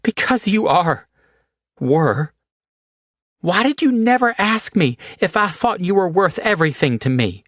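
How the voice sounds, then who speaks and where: A man's voice: sits high, sing-song, measured pace, a bit bright, dry recording, clean studio sound, pitched above the performer's own voice, heavily acted. Guy doing a teary young woman, fierce and pleading, voice pushed way up.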